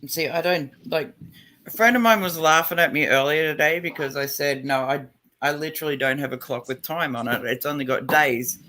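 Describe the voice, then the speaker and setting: A man's voice: fairly high pitch, brisk, pushed and bright, a little hiss or hum. A middle-aged man chatting casually on a livestream, loose and profane, with lots of fillers. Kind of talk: casual chat.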